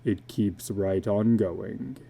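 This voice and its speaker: A man's voice: lowish voice, gravelly, warm tone, slightly rough, pitched below the performer's own voice, heavily acted. A man voicing a weary, middle-aged tattooed carnival drifter in a storybook reading, speaking in a heavy, resigned manner. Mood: haunted, weary. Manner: slow, heavy, resigned delivery.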